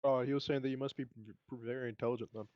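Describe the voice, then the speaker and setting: A man's voice: medium pitch, level tone, very fast, dry recording, lo-fi sound. Casual male tabletop player, dry mic. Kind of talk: casual roleplaying chat.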